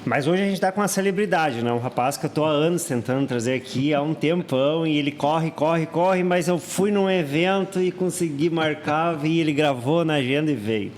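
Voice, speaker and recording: A man's voice: fairly high pitch, slight background noise. A man with a Rio Grande do Sul accent hosting a real estate podcast, chatty and rambling as he asks questions. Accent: Rio Grande do Sul Brazilian Portuguese.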